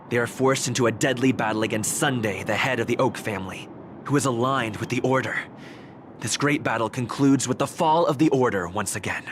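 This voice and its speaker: Game narrator, male actor: composed, formal storyteller, measured epic recap, lower register, clearly noisy backdrop. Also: close to an everyday voice.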